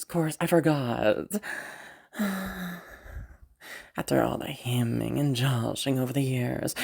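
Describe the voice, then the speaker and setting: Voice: medium pitch, creaky, a little mellow. A performer voicing a proud, frustrated young woman inventor out hunting, muttering to herself with sighs and swearing in a dramatic audio roleplay. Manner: muttering to self, sighing, sweary self-argument.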